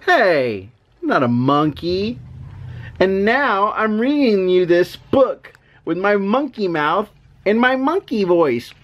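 A man voicing an exasperated, reluctant storybook reader, mock-protesting in a playful, drawn-out way as he is forced to say silly things. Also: high-pitched, sing-song, full character voice. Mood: baffled, protesting. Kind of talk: animated children's storybook reading.

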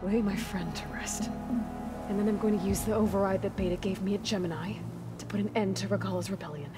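A woman's voice: medium pitch, fairly even intonation, a little fast, music in the background, close to an everyday voice. An actress playing a determined, earnest young warrior woman in a cinematic video game, intense and resolute. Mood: firm, defiant. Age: young adult.

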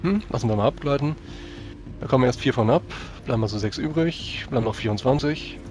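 A man with an average-pitched voice talks casually as a player in a tabletop role-playing game, moving between rules and banter. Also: fairly quick, a little mellow, faint music behind.

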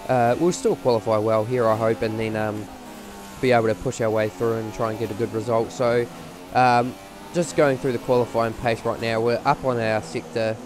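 Man with a cold-strained voice. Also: on the low side. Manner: rambling with many fillers. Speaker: racing game commentator. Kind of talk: casual gameplay commentary.

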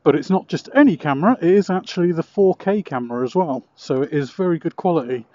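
Higher-voiced man chatting through muffled intercom. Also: lively intonation, fast, a little mellow. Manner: enthusiastic. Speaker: motorcycle reviewer. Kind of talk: casual chat while riding. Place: outdoors, riding a motorcycle. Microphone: helmet intercom camera mic. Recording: muffled helmet intercom with road noise.

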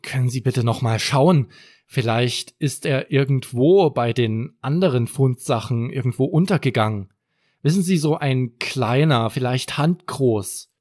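A man's voice: mid-range voice, a little slow, darkish tone, close to an everyday voice. A man voicing a caring, busy mother in a children's story, polite and reassuring. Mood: insistent.

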